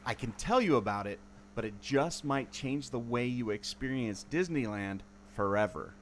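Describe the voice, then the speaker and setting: A man's voice: medium pitch, animated, moderate pace, natural voice. A man playing a resourceful, know-it-all former mariner who explains things emphatically in a radio adventure. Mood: intrigued, secretive. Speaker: podcast host acting in audio drama. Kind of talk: amateur radio adventure acting. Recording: noticeable ambient background noise.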